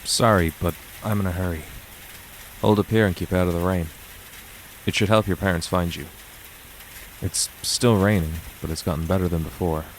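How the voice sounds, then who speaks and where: A man's voice: deep voice, fairly even intonation, moderate pace, a little hiss or hum, lightly acted, poor audio. A man playing a weary, aloof math teacher, narrating and talking in a dry, resigned way for a visual novel fandub. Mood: mildly annoyed. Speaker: narrator and lead character. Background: music and rain sounds.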